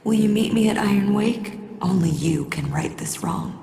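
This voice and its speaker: A woman playing a fervent, reverent cult priestess in a video game, theatrical, with a creaky, breathy voice. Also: mid-range voice, moderate pace, mellow, roomy sound, a little hiss or hum. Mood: hushed, reverent. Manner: reverent, theatrical, breathy whispers rising to incantatory cries. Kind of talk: dramatic video game acting.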